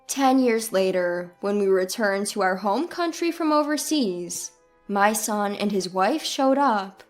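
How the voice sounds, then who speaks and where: A woman's voice: medium pitch, animated, measured pace. A woman reading a scripted first-person story as its narrator. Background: background music.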